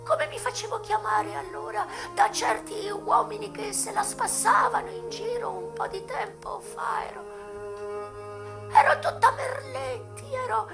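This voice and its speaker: Frail old ex-courtesan on her deathbed, very high creaky clucky voice, drags words out, weepy radio drama. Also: music in the background, exaggerated voice. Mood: wistful confession. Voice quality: clucking, breathy, tearful. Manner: halting, drawn-out, pleading. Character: dying old American ex-courtesan aunt, tender and bitter. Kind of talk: theatrical radio monologue.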